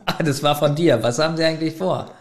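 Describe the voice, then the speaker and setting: A man's voice: on the high side, quick pace. A man co-hosting a podcast in casual chat, keeps asking his partner questions and reads plot summaries aloud. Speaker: podcast co-host.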